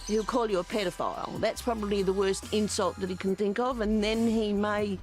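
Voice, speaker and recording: Female interviewee, disapproving and measured, mid-range voice, faint music underneath. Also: medium pitch, fairly even intonation. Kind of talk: interview comments.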